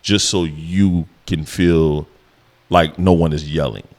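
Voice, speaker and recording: A man co-hosting a podcast, with a deep voice that carries, talking in a relaxed, rambling way with lots of fillers. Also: slow. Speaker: podcast co-host. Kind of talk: casual chat.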